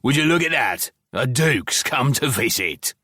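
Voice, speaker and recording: A man voicing a hoarse, mocking goblin convict. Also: medium pitch, animated, unhurried, sharp tone, slight background noise, voice pushed up. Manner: mocking, belligerent, crude. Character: scrappy mocking male goblin convict, loudmouth.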